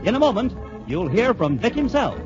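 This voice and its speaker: A man announcing a 1940s radio serial for young listeners in an excited style. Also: high voice, normal speed. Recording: muffled 1940s radio recording with music. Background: music.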